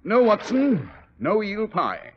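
An actor playing a brisk, teasing gentleman detective with a British accent, crisp, commanding and wry, in 1940s radio style. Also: high voice, huge pitch swings, full character voice. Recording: dull, noisy old radio recording.

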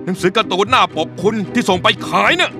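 A man playing an excitable, stressed middle-aged comics editor in a cartoon, blustery and full of exclamations and groans. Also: sits high, fast. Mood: urgent. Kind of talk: cartoon voice acting. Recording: music behind the voice.

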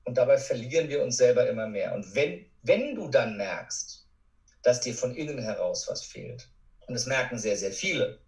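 Male coach, middling-low pitch, earnest and emphatic podcast guest, roomy echo. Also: very animated, moderate pace, darkish tone. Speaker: interview guest, motivational speaker and coach. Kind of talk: reflective personal account in a podcast interview. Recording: roomy, echoing sound.

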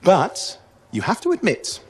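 An actor playing a restless, eager young sidekick in a sci-fi video game, chatty and fussy. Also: male voice, high-pitched, wildly swooping pitch, unhurried, husky, heavily acted. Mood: exasperated. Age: young adult. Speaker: cipher who assists a pilot.

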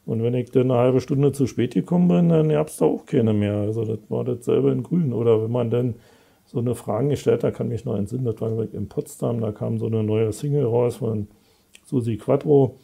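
A man, a guest author talking in a relaxed, rambling way with many fillers, on a lapel mic in a studio. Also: medium pitch, moderate pace, gravelly, slightly rough. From Berlin, East Germany. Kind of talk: relaxed conversational interview. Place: studio set with brick wall.

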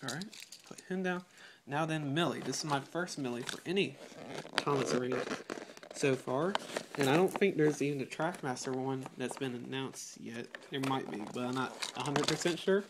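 A chatty teenage boy reviews toy trains at home, talking into a phone held close. He is still getting over a cold and keeps clearing his throat. Loud crinkling plastic packaging rustles clearly around his voice. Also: medium pitch, moderate pace, heavy background noise. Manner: chatty, eager, apologetic, clears throat often. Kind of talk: casual unboxing review. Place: home room with patterned rug. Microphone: phone camera held close.

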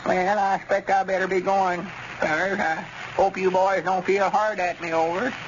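An actor playing a cranky, proud old grandpap, stubborn and folksy, with a rural Arkansas accent in an old radio comedy. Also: male voice, sits high, steady tone, moderate pace, pitched above the performer's own voice, natural voice. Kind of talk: 1930s-40s radio comedy acting. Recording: muffled, noisy old radio recording.

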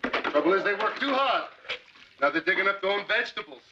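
An actor playing a grumbling, wary middle-aged farm employer with folksy speech in a television period drama. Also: male voice, high voice, animated, fairly quick, noisy background. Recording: dull old TV soundtrack.

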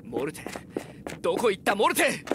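A man playing a serious, protective young adventurer in an audio drama, speaking with gruff urgency. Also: high-pitched, wildly swooping pitch, normal speed, roomy sound, noisy background. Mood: alarmed. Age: young adult. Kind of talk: Japanese audio drama acting. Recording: loud music over voice.

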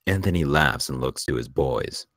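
A man's voice: rumbling low, normal speed, fairly bright tone, no room sound, clean studio sound. A man narrating the stage directions of a screenplay at a table read.

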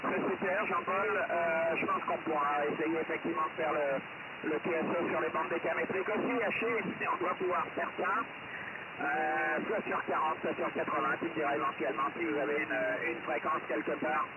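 A cheerful man chatting casually as an amateur radio operator over a radio link. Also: fairly high pitch. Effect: single-sideband radio transmission. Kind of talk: casual ham radio contact with phonetic callsigns. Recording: very noisy satellite radio link.

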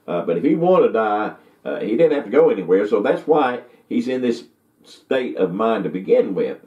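A man gives a folksy, rambling Bible lesson to the camera from a study, with many restarts and fillers. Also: on the low side. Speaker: Bible teacher. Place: indoor study with bookshelves. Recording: tinny, echoey, from far off.

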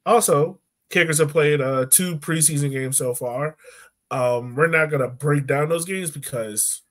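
An American man hosts a relaxed sports show over a video call from home. His voice sits a bit high, and he talks in a joking, opinionated style. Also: measured pace. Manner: jokey, opinionated. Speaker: podcast host. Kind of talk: casual sports chat. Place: room at home.

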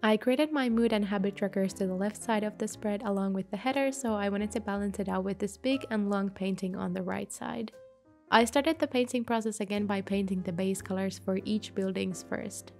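A woman giving a calm, gentle voice-over for her journal painting video, a little sick from a mild flu. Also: mid-range voice, moderate pace, faint music behind. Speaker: bullet journal creator. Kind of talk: voice-over narration of a craft video.

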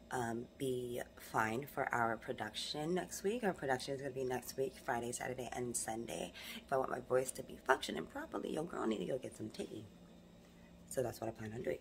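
A young woman with a low voice chats to her phone camera, hoarse and a bit raspy from rehearsals. She talks in an animated, emphatic way, with a faint hum of background noise. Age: young adult. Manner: animated, emphatic motivational talk. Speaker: vlogger. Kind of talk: casual vlog talk to camera. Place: home living room, then car. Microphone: phone camera held close.

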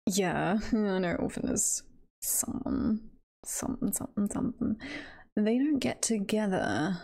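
A woman is chatting casually on a livestream at a leisurely pace. Her medium-pitched voice has plenty of vocal fry. She thinks aloud in a playful, hesitant, giggly manner. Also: expressive, a little slow, mellow. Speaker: streamer and voice performer.

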